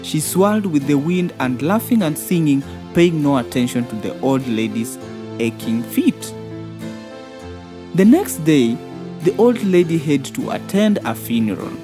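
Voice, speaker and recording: Low-voiced, unhurried woman storyteller. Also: lively intonation. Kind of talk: scripted children's fairy-tale narration. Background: soft background music.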